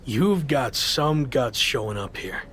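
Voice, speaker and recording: A man's voice: mid-range voice, lively intonation, slow, a bit bright. A man playing a cocky, defiant young champion wrestler in a video game cutscene, blunt and confident.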